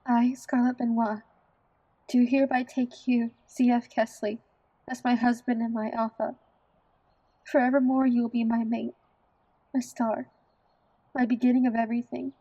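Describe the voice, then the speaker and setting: High, dark, husky young bride, acted by a woman. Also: level tone, normal speed, pauses often. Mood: earnest, loving. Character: devoted, resolute young bride. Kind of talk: audio drama acting. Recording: dull lo-fi microphone sound.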